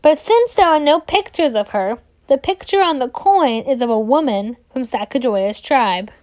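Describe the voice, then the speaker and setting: A woman reading a children's picture book aloud in an expressive storytelling style, drawing out words for emphasis. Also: sits high, normal speed.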